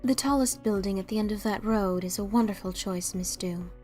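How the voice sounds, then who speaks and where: A woman's voice: medium pitch, a little fast, darkish tone, close to an everyday voice. A whimsical, enigmatic unicorn mare, voiced by a woman for a cartoon-style audio drama. She sounds composed and knowing, with faint music behind her. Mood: coolly helpful.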